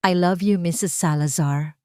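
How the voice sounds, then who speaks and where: A woman's voice: fairly low pitch, a little slow, darkish tone, clear, resonant tone, dry recording, natural voice. A devoted groom speaks with earnest tenderness to the one he loves, voiced by a woman storyteller. It is romantic audio story acting with a dry, close sound. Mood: affectionate.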